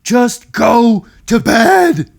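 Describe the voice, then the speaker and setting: A man voicing a playful but firm dad in a children's storybook, loud and commanding as he orders bedtime. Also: very high-pitched, lively intonation, very slow, gravelly, pitched above the performer's own voice, exaggerated voice.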